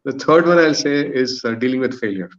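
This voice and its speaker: A man in his thirties giving a thoughtful, structured explanation as a podcast guest, pausing often to find his words. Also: medium pitch, lo-fi sound. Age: 30s. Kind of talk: thoughtful panel discussion answer.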